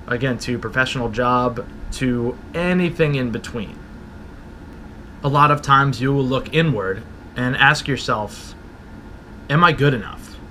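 A man in his thirties hosting a solo podcast from a small room at home, talking slowly and reflectively in an encouraging way into a desk mic. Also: mid-range voice, bright, forceful tone, a little hiss or hum. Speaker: podcast host. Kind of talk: motivational solo talk. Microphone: studio mic with pop filter, camera at desk.

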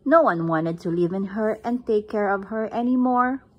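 A woman's voice: medium pitch, moderate pace, slightly rough, lo-fi sound. A woman reading a picture book aloud at home, recorded on a phone camera above the book. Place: indoors, at home.

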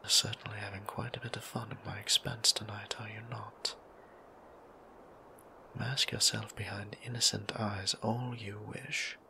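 A man voicing a gentle, formal male vampire priest in a whispered ASMR roleplay, speaking in old-fashioned, softly teasing phrasing. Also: fairly low pitch, level tone, unhurried, slight background noise, full character voice. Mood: tender. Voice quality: soft whisper, gravelly. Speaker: voice actor.

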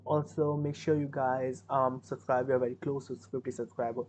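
A man's voice: mid-range voice, level tone, a little fast, a little mellow, slight background noise. A young man with an Indian accent, giving rambling, casual commentary as he reacts to a music video in a small room at his webcam. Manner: rambling, self-correcting, chatty.

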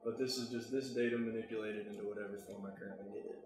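An American man giving a casual software tutorial, talking informally and thinking aloud as he works. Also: lowish voice, fairly even intonation, fast talker, echoey room, noisy background. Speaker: tutorial presenter.

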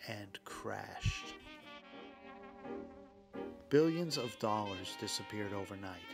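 A man narrating a scripted documentary podcast in a measured way, with dramatic pauses. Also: lowish voice. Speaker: podcast host. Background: music.

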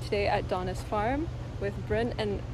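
A woman's voice: medium pitch, normal speed. A woman in her twenties hosting a casual vlog interview, speaking into a phone held at arm's length. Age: 20s. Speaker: host and interviewer. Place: outdoors and in greenhouses on a farm. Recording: noisy outdoor phone recording.

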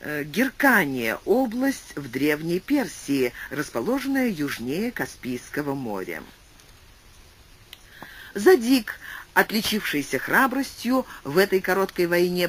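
An audiobook narrator giving a scripted literary reading and reading explanatory footnotes aloud. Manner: animated reading with wide pitch swings.

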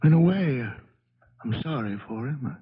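An earnest, weary in a seventies radio play. He talks hesitantly and with measured care, in a husky voice. The recording is dull and old. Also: medium pitch, expressive. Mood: shaken, weary. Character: earnest, weary man recounting a disaster. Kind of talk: 1970s American radio drama acting.

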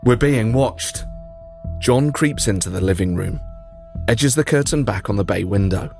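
Male podcast host, low-pitched, measured scripted narration with dry wit. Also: lively intonation, vocal fry, darkish tone, soft background music. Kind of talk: scripted storytelling narration with banter.

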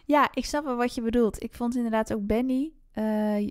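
A woman's voice: a little high, moderate pace, no echo, studio quality. A woman chatting casually about books as a podcast host, in a conversational, unscripted way. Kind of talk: casual book discussion.

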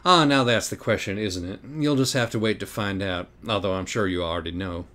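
A man's voice: fairly low pitch, brisk, bright, forceful tone. A man voicing a grandiose, taunting demonic cat in an audiobook, with pompous, theatrical delivery. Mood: smug taunting.

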